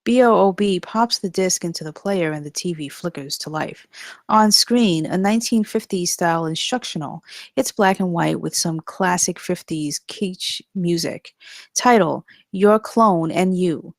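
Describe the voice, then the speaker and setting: A woman's voice: fairly low pitch, a little slow, no room sound. A woman narrating the stage directions at a screenplay table read in a scripted style.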